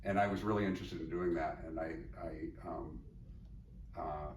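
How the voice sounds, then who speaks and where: Middle-aged man, very low voice, thoughtful interview guest, roomy echo, sits back from the mic. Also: fairly even intonation, normal speed, a little mellow. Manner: thoughtful, meandering, many fillers and restarts. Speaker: psychologist and teacher, podcast guest. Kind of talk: reflective interview conversation. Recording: echoey room, mic at a distance, faint noise.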